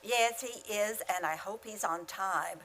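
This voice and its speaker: Radio comedy role: wry female high school teacher, played by an actress, mid-pitched, dry sarcasm. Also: unhurried, a bit bright. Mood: dry, sardonic. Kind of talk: old-time radio comedy acting.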